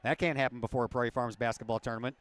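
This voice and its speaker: A hoarse man announcing a high school basketball halftime show from the press box, reading stats and sponsor ads in a chatty way. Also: steady tone, rapid-fire, no room sound. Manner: chatty, self-deprecating asides between stat rundowns. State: hoarse, losing his voice. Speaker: play-by-play announcer. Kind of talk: halftime sports broadcast and read ads. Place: gym press box, alone.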